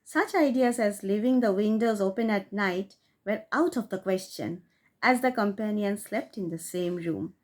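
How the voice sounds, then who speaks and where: A woman reading a short story aloud in a measured, expressive narration. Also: medium pitch. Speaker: audiobook reader.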